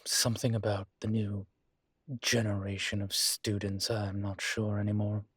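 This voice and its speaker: A man acts out a weary male incubus who teaches college, gruff yet fond beneath it. His voice is low-pitched and husky, recorded dry and close in an intimate audio roleplay. He talks in halting, candid phrases broken by sighs. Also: monotone, fairly bright tone, dry recording. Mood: sincere, admitting. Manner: slow, halting, sighing, candid.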